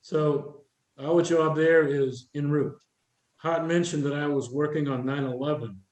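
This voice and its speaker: Older guy giving a workshop talk, bit higher voice, echoey room, explains stuff and asks questions. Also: fairly even intonation, a little mellow. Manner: informal, instructive, pausing to quiz listeners. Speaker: presenter, retired air traffic controller. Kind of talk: career workshop presentation, explaining with questions to the audience. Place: indoor room with a live audience. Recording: roomy, echoing presentation room sound.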